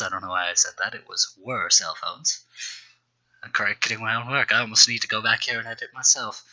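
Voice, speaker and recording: A man's voice: mid-range voice, bright, forceful tone, lo-fi sound. A man reading his own amateur story aloud as a host, with wry, self-deprecating asides.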